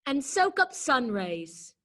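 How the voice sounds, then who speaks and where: A woman's voice: high-pitched, big pitch swings, takes it slowly, fairly bright tone, heavily acted. A woman playing a vain, disdainful talking flower in a children's readers' theater performance. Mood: haughty. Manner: disdainful, superior. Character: vain, snooty talking garden flower, female.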